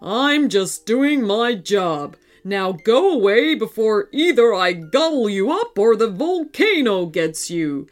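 Woman putting on a slow, gruff robot dinosaur. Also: fairly high pitch, big pitch swings, faint music behind. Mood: snappy, menacing. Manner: roaring, curt, drawn-out delivery. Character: huge gruff lonely robot dinosaur, fierce but sad.

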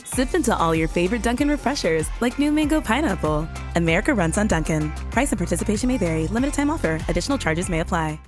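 A woman's voice: medium pitch, very animated, fast, hardly any pauses, over music. A woman reading a scripted, upbeat commercial voice-over.

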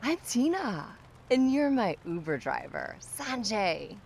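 An actress playing a muddled, tipsy, pushy car passenger who talks haltingly and repeats herself in an audio drama. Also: female voice, a little high, very animated, gravelly, darkish tone, slight background noise, heavily acted. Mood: muddled, demanding.

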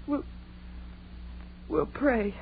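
A woman's voice: fairly high pitch, animated, drawn-out words, creaky, heavily acted. An actress playing a frightened, pleading young woman in 1930s radio horror, melodramatic and given to repeating herself, on a muffled, noisy old recording. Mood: hushed, fearful.

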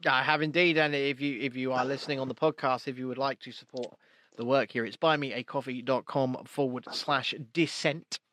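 A man's voice: mid-range voice, steady tone, a little fast, fairly bright tone, no echo. A man co-hosting a casual live-stream podcast, chatty and jokey, rambling through restarts. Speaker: podcast co-host.

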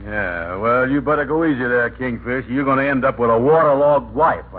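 An actor playing a skeptical, slow-witted pal with folksy grammar in an old-time radio comedy. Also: male voice, mid-range voice, close to an everyday voice. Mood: skeptical, teasing. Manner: folksy nonstandard grammar, halting when reading aloud. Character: skeptical, plain-spoken man, the schemer's slow-witted pal. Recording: tinny, noisy old radio recording.

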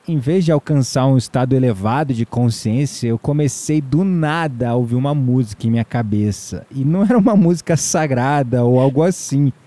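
An actor playing an amiable, self-deprecating, introspective man in an audio drama, speaking Brazilian Portuguese in a measured, reflective way. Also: medium pitch, warm tone, natural voice. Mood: reflective storytelling. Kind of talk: audio drama dialogue and inner monologue.

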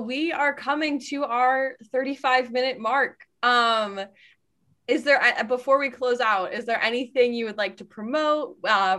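A woman's voice: fairly high pitch, bright, forceful tone. A woman hosting a podcast and chatting casually about doing comedy, with many fillers.

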